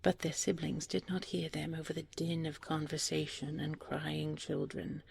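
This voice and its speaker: Woman, bedtime story narration, gentle, near-whispered, very gravelly fry, even intonation. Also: sits low, normal speed, a little hiss or hum. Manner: gentle, hushed, breathy delivery often falling to near-whisper. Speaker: storyteller, audio roleplay voice actor. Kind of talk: scripted fairy-tale narration, soft sleep-aid bedtime storytelling.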